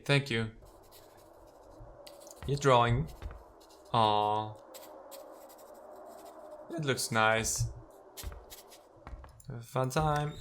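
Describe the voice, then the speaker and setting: A man's voice: medium pitch, fairly bright tone, slight background noise. A man giving casual, playful let's play commentary, slipping into theatrical readings of game text and talking to the characters.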